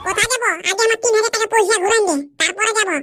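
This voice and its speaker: A performer voicing a cheeky young village lad in a regional cartoon, talking in quick, squeaky banter. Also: female voice, steady tone, over a noisy crowd. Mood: indignant.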